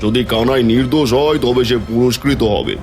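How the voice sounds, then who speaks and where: A man's voice: mid-range voice, normal speed, darkish tone, faint music behind, close to an everyday voice. A man playing a stern, authoritative village headman in a cartoon story, speaking like a commanding judge. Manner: commanding, judicial.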